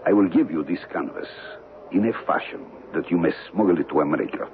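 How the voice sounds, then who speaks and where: Man acting a very deep, calculating smuggler. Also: lightly acted. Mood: scheming, persuasive. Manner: deliberate, worldly, formal phrasing with dramatic pauses. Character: shady, calculating male smuggler of stolen antiquities. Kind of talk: old-time radio mystery acting. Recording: muffled, noisy old radio broadcast recording.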